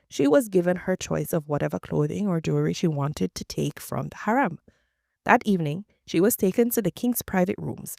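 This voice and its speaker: A woman reading scripture aloud as a regular reader, in a measured, even way with pauses between clauses. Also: lowish voice, gravelly, dry recording, clean studio sound. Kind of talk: read-aloud scripture narration.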